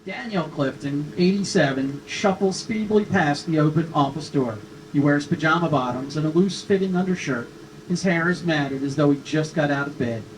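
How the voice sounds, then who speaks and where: Male narrator, slightly high voice, reading screenplay directions, off-mic, scripted delivery. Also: moderate pace. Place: room at a live table read. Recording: distant mic, faint room noise.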